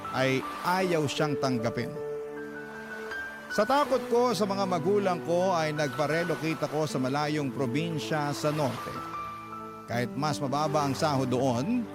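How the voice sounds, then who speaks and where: Male reader, earnest confessional letter narration, music bed underneath. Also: a little high. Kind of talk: first-person letter narration.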